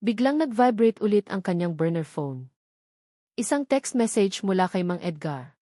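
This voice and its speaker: A woman's voice: medium pitch, very animated, moderate pace, warm tone, no room sound. Filipino woman narrating a story, dry sound. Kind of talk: scripted dramatic storytelling narration.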